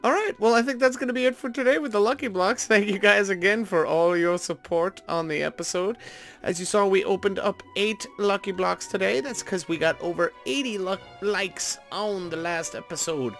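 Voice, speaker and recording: A man with a fairly high-pitched voice hosts a gaming video. He talks informally and thinks aloud as he plays, in a casual commentary style. Soft music sits under his voice. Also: moderate pace, gravelly. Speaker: host of a gaming video series.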